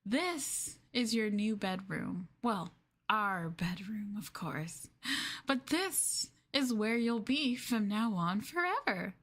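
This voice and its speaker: A woman voicing a sweetly possessive, obsessive young girlfriend who holds her lover captive, in a soft, cooing, hesitant ASMR roleplay delivery. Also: mid-range voice, lively intonation, slow pace. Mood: possessive, wistful. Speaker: streamer roleplaying for viewers.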